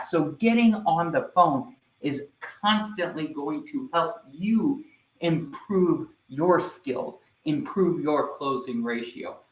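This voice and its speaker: A man giving a live online sales training, energetic and speaking directly to viewers, often checking in with "right?". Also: on the high side, echoey room, low-quality recording.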